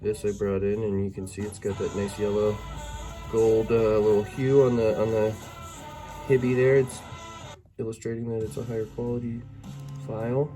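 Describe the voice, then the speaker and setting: A man's voice: on the low side, little pitch movement, normal speed. A man giving a casual hands-on product review, thinking aloud hesitantly, as an amateur reviewer. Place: indoors by a window. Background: music tracks played as demonstration.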